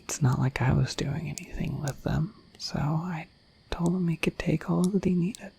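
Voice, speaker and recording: A woman voicing a shy, friendly dragon in a breathy whispered ASMR roleplay, rambling gently and hesitantly to the listener. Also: deep voice, normal speed, full character voice. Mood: musing, gentle. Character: shy, friendly, dorky dragon in humanoid form.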